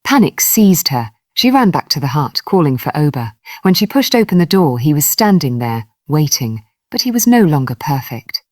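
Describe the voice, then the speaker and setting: A woman's voice: low voice, sing-song, moderate pace, hardly any pauses, no room sound, studio quality. A woman telling a folktale in dramatic scripted narration. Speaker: storyteller.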